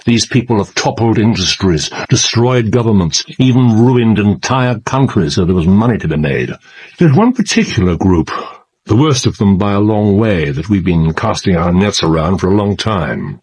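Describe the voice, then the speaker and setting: A grave British intelligence chief, low-pitched and very husky. He speaks with animated, emphatic swings, measured yet urgent, in period radio-drama style. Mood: urgent, insistent. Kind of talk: period audio drama acting.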